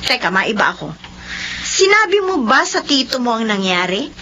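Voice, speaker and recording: A woman's voice: fairly high pitch, very animated, normal speed, sharp tone. An actress playing a gossipy, loyal female friend, indignant on her friend's behalf. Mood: indignant, nosy. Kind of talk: radio-drama acting. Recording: muffled lo-fi recording, faint hum.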